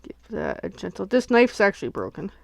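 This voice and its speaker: A woman's voice: medium pitch, very animated, a little fast, creaky, no echo. A sniffly woman hosting a casual crafting livestream, chatting and thinking aloud as she unboxes and works at home.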